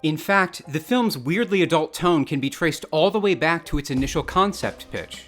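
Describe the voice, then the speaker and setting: A man delivers scripted video-essay narration in a slightly high voice. Soft music plays behind him as he reads. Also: animated, moderate pace. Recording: music bed under the voice. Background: background music.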